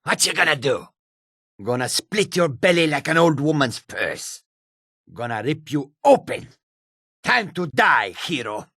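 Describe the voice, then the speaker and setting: Gravelly, surly cat-man bandit. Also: on the high side, sing-song, unhurried, sharp tone, dry recording, studio quality, exaggerated voice. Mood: aggressive, taunting. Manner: coarse, clipped, grumbling. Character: rough, surly, greedy male cat-folk bandit. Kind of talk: fantasy video game character acting.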